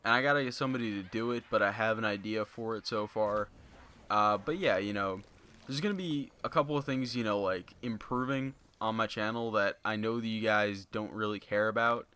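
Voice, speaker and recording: A young man talking casually to his viewers in a flat, monotone voice, rambling with lots of fillers into a basic home microphone. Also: on the low side, brisk, smooth. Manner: rambling, filler-heavy, many "you know"s. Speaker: gaming YouTuber. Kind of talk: casual unscripted commentary to viewers. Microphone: basic low-end home mic.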